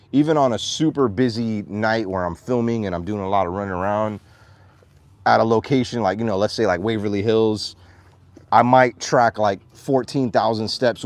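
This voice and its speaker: Animated chatty man with a lilt. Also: lowish voice, dry recording. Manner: chatty, animated, coos at his dogs. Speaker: vlogger. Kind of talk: casual vlog chat. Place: outdoors on a farm. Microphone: phone at arm's length.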